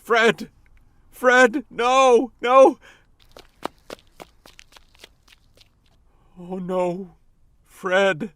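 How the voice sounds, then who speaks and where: A man's voice: sits high, very slow, fairly bright tone, clean tone, exaggerated voice. A man playing a frightened, guilt-ridden young husband in a horror radio drama, pleading and anguished.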